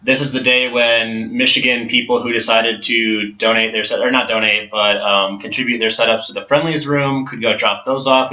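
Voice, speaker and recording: A man with a bright, forceful voice explains things step by step as a podcast guest, pausing on ums. The sound is roomy and slightly dull. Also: on the low side. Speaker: podcast guest and tournament staffer. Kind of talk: casual podcast talk.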